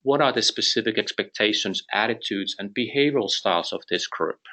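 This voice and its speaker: A man's voice: lowish voice, fairly quick, sharp tone. A middle-aged man from Finland with a Finnish accent, presenting a business webinar carefully, with restarts and light humor. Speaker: company president presenting a webinar.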